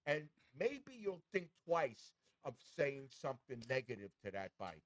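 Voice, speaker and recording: A man's voice: medium pitch, big pitch swings, unhurried, no room sound. A man in his seventies hosting an interview, speaking earnestly and encouragingly, often repeating himself for emphasis. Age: 70s. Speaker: show host. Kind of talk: casual interview chat. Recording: dull, muffled audio.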